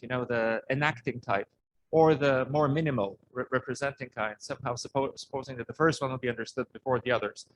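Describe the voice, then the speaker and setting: Male discussant, somewhat high voice, halting informal scholarly remarks, light room noise. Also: rough recording. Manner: halting, rephrasing as he goes. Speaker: academic discussant. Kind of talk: informal spoken academic comment.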